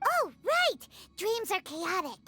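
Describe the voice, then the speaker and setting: A woman voicing a small, excitable, childlike fairy companion in a video game, with an exclamatory manner. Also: very high voice, takes it slowly, soft background music, exaggerated voice. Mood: excited. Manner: refers to self in third person, exclamatory.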